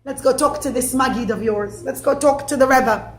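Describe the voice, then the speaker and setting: A woman voicing a rich, blustering older father who shouts in disbelief. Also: sits high, moderate pace, roomy sound, close to an everyday voice. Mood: indignant.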